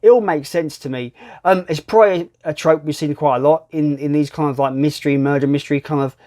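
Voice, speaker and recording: Blunt, sweary man, slightly high voice. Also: a little fast, warm tone. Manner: blunt, sweary, repeats words for emphasis. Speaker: film reviewer, video host. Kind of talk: casual film ranking commentary.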